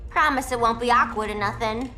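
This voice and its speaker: A woman's voice: on the high side, a little fast, close to an everyday voice. A woman playing a manic, playful young clown antiheroine in a video game, with sing-song glee. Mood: teasing. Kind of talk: cartoonish video game acting. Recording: loud music over the voice.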